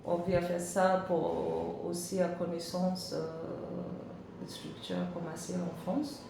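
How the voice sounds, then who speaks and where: A woman's voice: lowish voice, little pitch movement, slow pace, creaky. A middle-aged woman from Kenya with a Kenyan accent, a native English speaker answering job interview questions in hesitant French, searching for words with many fillers. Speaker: job seeker. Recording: very echoey room with noise.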